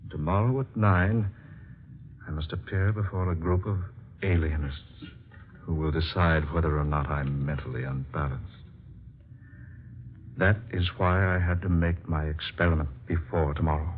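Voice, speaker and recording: A man's voice: low voice, fairly even intonation, moderate pace, slight background noise, lightly acted. An American man playing a brooding, desperate scientist accused of madness, in formal, melodramatic 1940s radio drama style. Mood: grave, confiding. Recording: dull lo-fi vintage radio, music.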